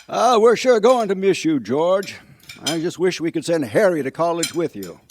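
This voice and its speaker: An actor in a live radio play playing a gentle, weary older father, hesitant, earnest and folksy. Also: a little high, big pitch swings, normal speed, a little hiss or hum. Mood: fond.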